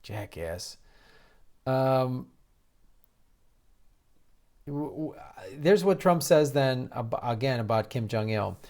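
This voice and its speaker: A man's voice: mid-range voice, lively intonation, darkish tone. An American man giving casual political commentary in an incredulous, mocking manner, often breaking off and restarting his sentences. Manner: halting, with repeated false starts. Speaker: host of a political commentary show.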